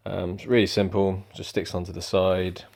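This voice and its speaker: A young man giving a relaxed, rambling product review at home, with lots of ums. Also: sits low, moderate pace, vocal fry. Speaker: motorcycle vlogger. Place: indoors at home.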